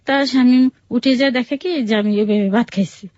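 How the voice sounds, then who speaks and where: A woman's voice: fairly high pitch, moderate pace, darkish tone, dry recording, lo-fi sound. A woman with a Bangladeshi Bengali accent tells her personal story in a radio interview, recounting it plainly and conversationally with quoted dialogue. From Bangladesh, outside Sylhet. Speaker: guest telling her life story.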